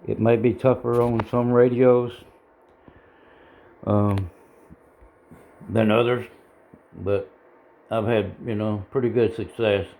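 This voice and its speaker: A man giving an informal hands-on tutorial in a halting, plain-spoken, self-deprecating way, recorded indoors on a handheld device. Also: fairly low pitch, fairly even intonation, dark tone. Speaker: hobbyist video tutorial presenter. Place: indoors at a home radio bench. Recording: clear background noise, room ambience.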